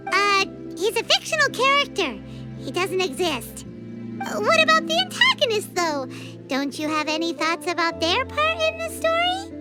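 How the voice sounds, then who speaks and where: Very squeaky, excitable little fairy companion. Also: female voice, moderate pace, over loud music, full character voice. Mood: hesitant, probing. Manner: speaks of herself in third person, exclamatory. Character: tiny chirpy childlike female fairy companion, excitable. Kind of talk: video game character acting.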